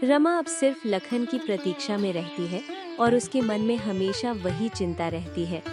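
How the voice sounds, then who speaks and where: A woman narrating a cartoon moral story in a measured storytelling manner. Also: mid-range voice, animated, music in the background.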